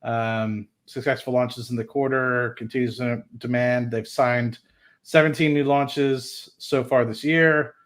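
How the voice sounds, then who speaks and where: Male host, medium-pitched voice, casual live commentary, reading and reacting in an informal thinking-aloud way. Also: steady tone, measured pace. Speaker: host of an investing livestream.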